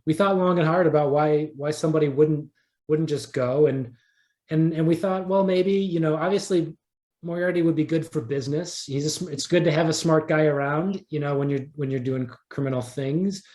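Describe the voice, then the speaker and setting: A man's voice: on the high side, normal speed, a little mellow. A man being interviewed as an audio drama creator, explaining casually and thinking aloud as he goes. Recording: roomy, echoing sound.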